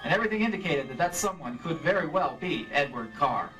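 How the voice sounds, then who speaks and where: A man playing a brisk, dogged insurance investigator with clipped, wry delivery in a live old-time radio drama, slightly distant from the mic. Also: a little high, lively intonation, sharp tone, soft background music. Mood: businesslike. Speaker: lead actor and narrator. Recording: live stage recording, off mic.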